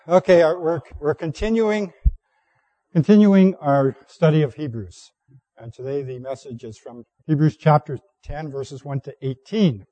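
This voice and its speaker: A man with a hoarse, mellow voice delivers a sermon, reading scripture in a deliberate, steady cadence. The sound is close and dry, with no room echo. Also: mid-range voice. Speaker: preacher.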